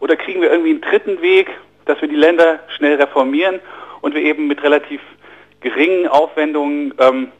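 Halting German man explaining finance. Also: a little high, fairly even intonation, highs cut off. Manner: fluent but halting, many fillers and repeated words. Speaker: financial journalist, interview guest. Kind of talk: radio interview, explaining economics. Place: radio studio.

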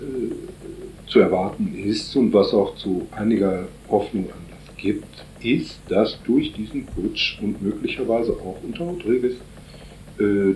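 Man, very low voice, dark tone, hesitant interview guest, roomy old radio recording. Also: a little hiss or hum. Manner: halting, many fillers and restarts. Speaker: interview guest from a solidarity group.

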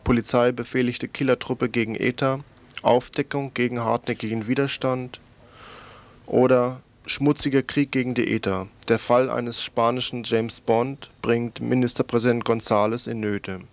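A man with a creaky, mid-pitched voice reads a radio news report. His delivery is matter-of-fact and scripted, with the occasional small stumble. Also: flat delivery, normal speed.

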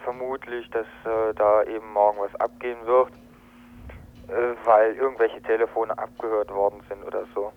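A man's voice: on the low side, flat delivery. Man on a tinny phone line, hesitant. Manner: hesitant, halting. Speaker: activist giving a phone report. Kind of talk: spontaneous eyewitness report. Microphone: telephone. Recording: tinny narrow-band phone line on radio.

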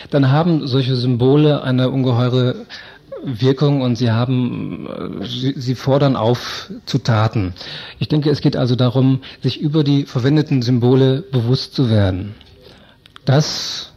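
A man answering as an interviewed expert, speaking in a deliberate, academic and hesitant way. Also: mid-range voice, dark tone. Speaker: interviewed expert on media and political discourse. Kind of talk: explanatory radio interview. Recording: dull, lo-fi radio recording.

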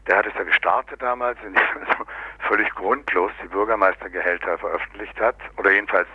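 A low-voiced man gives a sardonic, unscripted political commentary. He speaks colloquially and haltingly, searching for words. The sound is dry and dull, like an old local radio recording. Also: slightly rough, no echo. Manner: sardonic, colloquial, often tags statements with "ne?". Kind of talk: unscripted critical political discussion.

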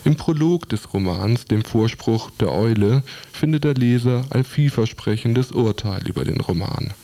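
A man's voice: medium pitch, animated, moderate pace, dark tone. A man reading a scripted book review for radio.

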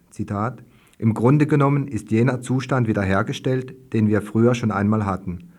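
A man reading a scripted news commentary as a radio reporter. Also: fairly low pitch.

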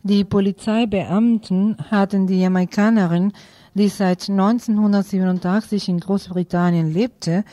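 A woman's voice: mid-range voice, steady tone, moderate pace, mellow, no echo. A woman reading news items as a radio presenter.

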